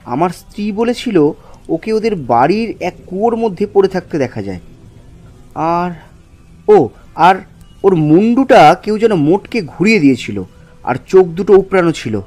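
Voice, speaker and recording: মৃদু, চড়া গলার এক পুরুষের অভিনয়ে উদ্বিগ্ন গল্পকথক. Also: male voice, sits high, big pitch swings, normal speed, pauses often, darkish tone. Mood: frightened. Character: anxious married male narrator, haunted follower of the tantric. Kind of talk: first-person horror story narration with acted dialogue. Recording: music under the voice.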